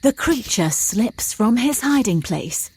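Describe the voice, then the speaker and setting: A woman with a husky voice, narrating an audio drama as scripted scene description. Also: a little high, very animated, slow, a little mellow.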